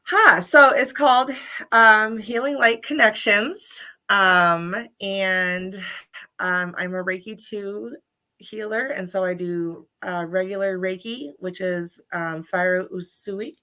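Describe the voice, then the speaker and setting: A woman's voice: mid-range voice, takes it slowly, sharp tone. A woman guest, a Reiki healer, chatting casually about her services with lots of ums. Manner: bubbly, many ums and restarts. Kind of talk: casual interview chat. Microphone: livestream video call.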